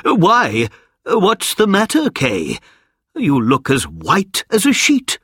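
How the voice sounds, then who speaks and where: A man voicing a kindly, feeble old knight in a storybook reading, speaking gently and very slowly. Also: high voice, wildly swooping pitch, breathy edge, no echo, studio quality, voice pushed up, heavily acted. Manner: gentle, slow, earnest.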